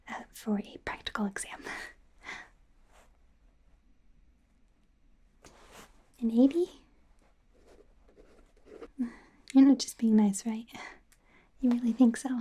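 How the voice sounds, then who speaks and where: A woman playing an awkward, eager werewolf trainee doctor in a soft, breathy, hesitant whisper for an ASMR roleplay. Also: fairly high pitch. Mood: self-doubting, playful. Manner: hesitant, rambling, giggly, stammering.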